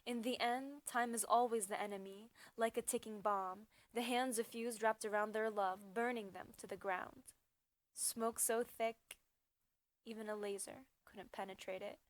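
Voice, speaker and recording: A woman reciting slam poetry as a spoken-word poet, with a dramatic, emphatic delivery in short measured phrases. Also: a little high, steady tone.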